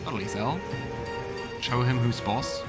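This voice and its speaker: Slow-paced man commentating over loud music. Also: medium pitch. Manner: short reactive remarks. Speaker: let's play host. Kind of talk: casual gameplay commentary. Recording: loud game music behind the voice. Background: video game music.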